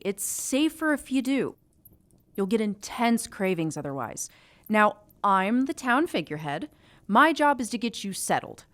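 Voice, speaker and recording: Woman as young witch, chipper, officious, eager to impress, highish voice, very lively intonation, audio drama. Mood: chipper, reassuring. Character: chipper, eager young female witch, self-conscious town figurehead.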